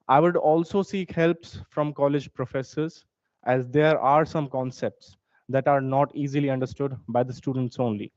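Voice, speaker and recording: A young man with an Indian accent, a student giving a steady, formal competition speech with a few fillers. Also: mid-range voice, dry recording. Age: young adult. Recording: dull dry lo-fi recording.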